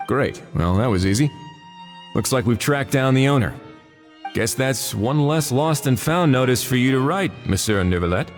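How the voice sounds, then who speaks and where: An actor playing a polite, composed, gently amused man with a measured manner in a video game. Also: medium pitch, lively intonation, in a large hall, soft background music, close to an everyday voice. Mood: amused, courteous. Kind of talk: video game cutscene voice acting.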